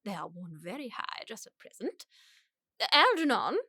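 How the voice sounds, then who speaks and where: Haughty aristocratic aunt, upper-class English, highish swooping voice, pompous radio-comedy grandeur. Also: female voice, sing-song, pushed and bright, breathy edge, dry recording, clean studio sound, exaggerated voice. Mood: condescending. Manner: pompous, emphatic, grandly drawn-out pronouncements. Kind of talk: radio play comedy of manners.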